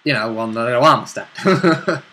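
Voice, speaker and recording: A man's voice: mid-range voice, expressive, fairly quick, pushed and bright. A man talking casually as a player in a tabletop role-play game, chipping in conversationally. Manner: interjecting, conversational.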